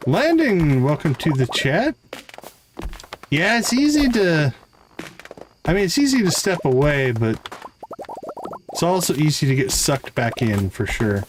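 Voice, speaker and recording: A man's voice: on the high side, very animated, measured pace, long pauses, noisy background. A man giving casual live gameplay commentary, easygoing and explanatory as he chats with his viewers. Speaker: live game streamer.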